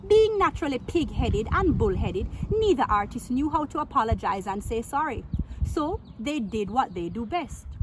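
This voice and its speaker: A woman with a fairly high voice reads a children's story aloud. She is theatrical and playful, stretching words and putting questions to her young listeners. There is clear noise in the background. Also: poor audio. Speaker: storyteller reading a picture book aloud to children.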